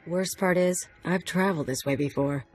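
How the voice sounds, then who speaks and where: An actress playing a breezy, wisecracking saboteur sidekick in a video game, with a casual, wry delivery. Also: female voice, fairly low pitch, moderate pace, lightly acted. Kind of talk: video game cutscene acting. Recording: game audio over music.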